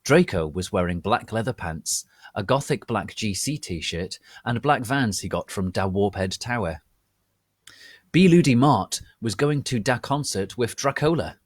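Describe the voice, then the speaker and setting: A man's voice: lowish voice, expressive, a little slow, husky, no room sound, clean studio sound. A man voicing a melodramatic, bratty goth teenage girl who narrates her story in a theatrical, petulant, emphatic way. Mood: gushing, haughty. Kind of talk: dramatic reading of amateur fan fiction.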